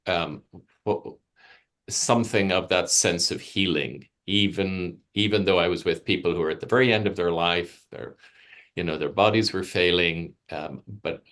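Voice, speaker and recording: Very low-voiced older Irish man, thoughtful. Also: fairly bright tone. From Ireland. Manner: thoughtful, halting, searching for words. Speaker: palliative care physician, interview guest. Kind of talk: reflective conversational interview. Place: home study. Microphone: video call.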